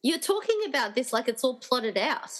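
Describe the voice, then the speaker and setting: A woman's voice: high voice, very animated, moderate pace, a bit bright, full character voice. A woman playing an earnest, determined young heroine who questions things, in an amateur radio play. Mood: earnest, questioning. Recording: reverberant, hall-like room sound.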